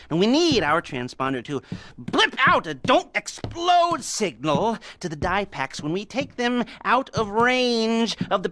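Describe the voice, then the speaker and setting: A man's voice: a little high, pushed and bright, a little hiss or hum, exaggerated voice. An actor playing a sardonic male heist mastermind who briefs his crew with wheezy chuckles and sarcastic asides. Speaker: heist planner.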